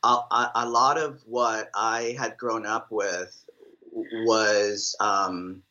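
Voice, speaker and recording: Rambling middle-aged man, low voice. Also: fairly even intonation, takes it slowly, bright, forceful tone, muffled recording. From El Salvador. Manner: rambling, many fillers and restarts. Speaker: drummer, interview guest. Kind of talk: casual interview answers.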